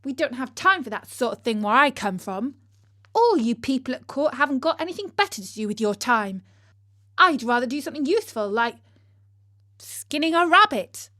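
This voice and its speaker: A woman's voice: high-pitched, sing-song, moderate pace, bright, forceful tone, heavily acted. A woman voicing a proud, headstrong young beggar maid in a storybook tale, blunt and scolding. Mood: scornful. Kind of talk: storybook character voice.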